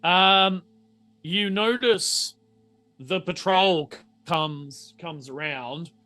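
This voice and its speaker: A man's voice: high voice, very slow, pushed and bright. A man speaking casually as game master, improvising narration in a hesitant, self-correcting way.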